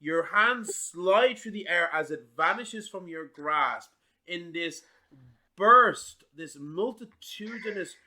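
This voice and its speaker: A man running a tabletop game as game master, narrating scenes slowly and deliberately. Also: high-pitched, animated, pushed and bright.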